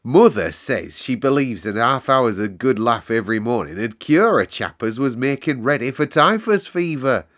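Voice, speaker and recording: A man's voice: medium pitch, lively intonation, pitched above the performer's own voice, close to an everyday voice. Man voicing a cheerful Yorkshire country boy. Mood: amused. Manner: broad dialect, warm exclamations, unhurried wonder. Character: cheerful, nature-loving Yorkshire country boy, kindly and eager.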